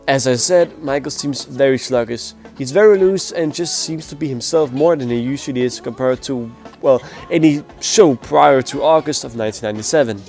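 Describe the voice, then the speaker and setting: Nasal, gravelly young man reviewing. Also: medium pitch, moderate pace, hardly any pauses, darkish tone, soft background music. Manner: blunt, opinionated, grades with scores. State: sick, nasal voice. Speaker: music performance reviewer. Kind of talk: casual spoken video review.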